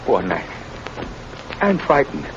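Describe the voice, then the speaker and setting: A man's voice: mid-range voice, wildly swooping pitch, unhurried. An actor playing a terrified young condemned man in an old radio drama, protesting his innocence. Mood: frightened. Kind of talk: 1930s radio drama acting. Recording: muffled, noisy old radio recording.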